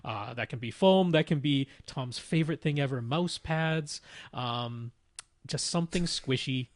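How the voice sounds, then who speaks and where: A man co-hosting a podcast, giving casual technical explanations in a measured, even-handed way. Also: mid-range voice, dry recording, studio quality. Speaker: podcast co-host.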